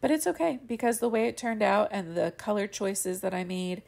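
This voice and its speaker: A woman's voice: medium pitch, normal speed, vocal fry, darkish tone. A young woman with a stuffy, congested and slightly cracking voice, chatting through a casual voiceover for a coloring tutorial. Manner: chatty, rambling with fillers. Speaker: card-making channel host. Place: home studio voiceover.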